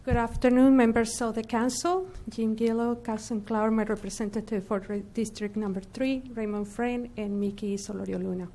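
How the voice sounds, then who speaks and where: A woman's voice: fairly high pitch, normal speed, few pauses, dark tone. Woman addressing a council formally. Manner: formal, addressing officials by name. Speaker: petition organizer addressing the council. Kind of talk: formal public comment. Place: council chamber.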